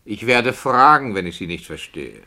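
A man's voice: fairly low pitch, animated, moderate pace, sharp tone. A man playing a sceptical, fussy radio play director, formal, polite and questioning. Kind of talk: 1960 German radio play dialogue.

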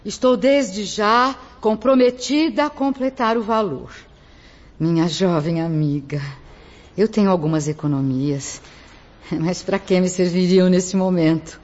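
A woman acts an ailing old lady in a radio serial, resigned and kind. Her delivery is gentle and very slow. The recording is dull. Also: lowish voice, close to an everyday voice. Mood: generous, resigned. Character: kindly, resigned, sickly old woman with generous heart. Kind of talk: radio drama acting.

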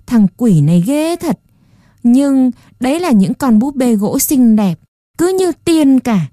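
A woman's voice: high-pitched, very animated, takes it slowly, warm tone, no room sound, studio quality, heavily acted. A woman voicing a grumpy, scornful old dollmaker who scolds and shoos a child away. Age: older. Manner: gruff, scolding, dismissive.

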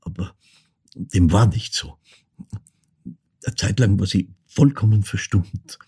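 An older actor with an Austrian accent playing a reflective ageing man who recounts his wartime childhood in a halting, hushed literary monologue. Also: lowish voice, exaggerated voice. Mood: pensive, subdued. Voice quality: gravelly, husky. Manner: halting, deliberate, hushed, broken phrasing. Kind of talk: literary monologue, dramatic storytelling.

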